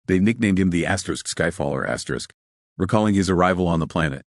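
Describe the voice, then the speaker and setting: A man's voice: deep voice, expressive, fast talker, no echo, clean studio sound. A man reading scripted dramatic narration of a science fiction horror story.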